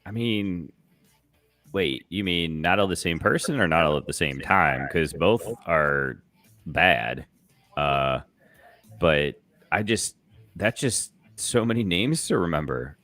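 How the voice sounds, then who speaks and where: A man with a deep voice and very lively intonation, co-hosting a casual comedy podcast. He rambles incredulously, and the sound is close and dry. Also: slow pace, no room sound, soft background music. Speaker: podcast co-host.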